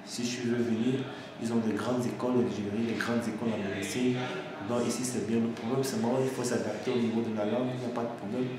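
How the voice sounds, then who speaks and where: A young man from Cameroon, an engineering student, giving a halting unscripted testimonial to camera with many restarts. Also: medium pitch, crowd noise. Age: young adult. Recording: echoey room with steady background noise.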